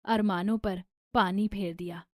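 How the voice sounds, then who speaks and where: A woman's voice: medium pitch, fairly even intonation, a little slow, a little mellow, no room sound, clean studio sound, natural voice. A woman voicing a pious middle-aged Indian mother-in-law who scolds in dramatic, scandalized exclamations for a moral-story cartoon. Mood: bitter, reproachful. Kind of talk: animated moral-story dialogue.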